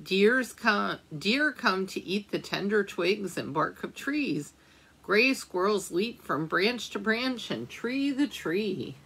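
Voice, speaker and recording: A woman librarian reading a picture book aloud at storytime, slowly and gently, pausing to ask the children questions. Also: mid-range voice, lively intonation, breathy edge, low-quality recording.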